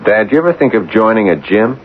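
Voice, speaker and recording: An actor playing a concerned, affable grown son who runs a company, speaking conversationally in a radio drama. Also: male voice, fairly low pitch, big pitch swings, brisk. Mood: cautious, solicitous. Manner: conversational, solicitous. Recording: dull, noisy vintage radio recording.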